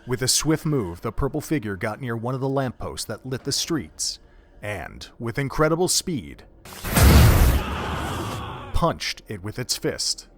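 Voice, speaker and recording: A man giving scripted story narration for an audio drama in a measured, even way. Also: medium pitch.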